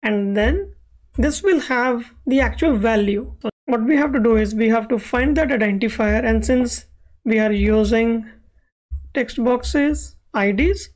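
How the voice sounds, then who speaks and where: A woman's voice: a little high, measured pace, darkish tone. A woman explaining code step by step as the instructor in a screencast tutorial. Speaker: web accessibility instructor.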